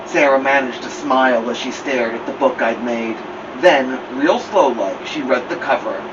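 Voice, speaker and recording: A man reading a children's picture book aloud as a storytime host, expressively, with drawn-out emphasis. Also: medium pitch. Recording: muffled, clear background noise, slightly distant.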